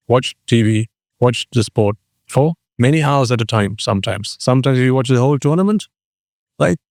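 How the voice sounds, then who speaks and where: Sri male dharma teacher, mid pitch, questioning, dry close recording. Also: a little mellow, no room sound. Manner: rhetorical questions to the audience. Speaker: Buddhist teacher. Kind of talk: dharma talk.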